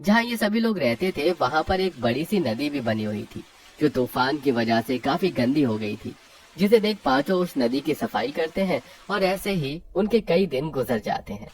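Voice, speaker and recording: A woman's voice: deep voice, sing-song, moderate pace, a little mellow, recorded from a distance. A woman narrating a scripted moral story.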